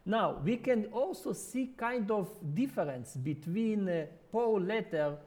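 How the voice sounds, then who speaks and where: A man preaching in careful but halting English, his showing in his phrasing. He often restarts words and reaches for the right expression. His voice sits a touch higher than most men's. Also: expressive, darkish tone. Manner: halting non-native English with many restarts. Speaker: ministry worker. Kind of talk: sermon.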